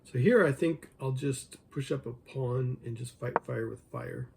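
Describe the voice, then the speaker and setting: A man who is losing his voice, hoarse, thinking aloud over an online chess game and joking with himself as he plays. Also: mid-range voice, a little slow, noisy background. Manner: mutters his thoughts, talks to himself, jokes self-deprecatingly. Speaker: chess video creator.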